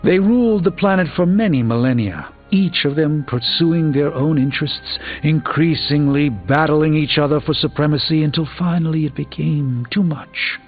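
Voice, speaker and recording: A man's voice: on the high side, lightly acted. Man playing grave, learned sorcerer, muffled game audio. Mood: grave, explanatory. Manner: measured, formal, articulate. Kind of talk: video game character dialogue. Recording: dull band-limited game audio, music behind.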